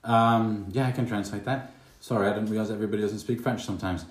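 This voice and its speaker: A man hosting a podcast interview in a relaxed, hesitant way, with plenty of fillers. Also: on the low side, fast, reverberant. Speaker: podcast host.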